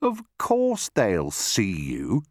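A man's voice: lowish voice, huge pitch swings, very slow, no room sound, clean studio sound, voice pushed up, full character voice. A man voicing a smaller, argumentative crocodile, scornful and protesting, in a storybook reading. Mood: mocking. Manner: protesting, contradicting.

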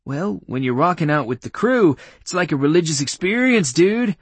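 A goofy, guilty-sounding slacker performed by a man in an audiobook. He talks in exaggerated dude slang, pitched higher than the narration. The recording is close and dry. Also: expressive, normal speed, breathy edge, no room sound. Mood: gushing, defensive.

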